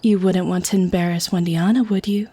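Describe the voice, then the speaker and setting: A woman's voice: lowish voice, fairly even intonation, fairly quick, mellow, breathy edge. A woman playing a sly, teasing, predatory female vampire, speaking with genteel mock sweetness in an audio roleplay. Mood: quietly menacing. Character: sly, teasing, predatory lady vampire feigning harmless friendliness. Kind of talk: scripted ASMR audio roleplay.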